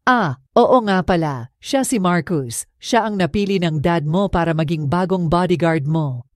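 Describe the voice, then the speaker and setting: A woman voicing a kindly, patient older female housekeeper in an audio drama, speaking in a gentle, coaxing way. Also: fairly low pitch, animated, unhurried, dry recording, clean studio sound. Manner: gentle, coaxing, calls the girl "iha". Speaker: voice actor.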